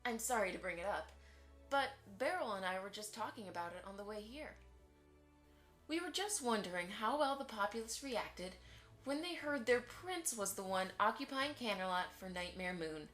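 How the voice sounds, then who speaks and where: A woman's voice: mid-range voice, animated, moderate pace, a bit bright, soft background music, natural voice. A woman playing a formal, courteous and pointedly inquisitive pony publisher in a fan audio drama. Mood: probing, insinuating. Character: polite, prying pony publisher, a probing female socialite.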